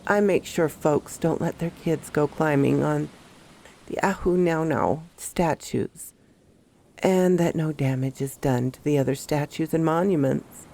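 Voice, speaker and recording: A woman playing a troubled female park ranger who recounts her horror story in a measured, confiding first-person narration. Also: low voice, mellow. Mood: wary, recounting.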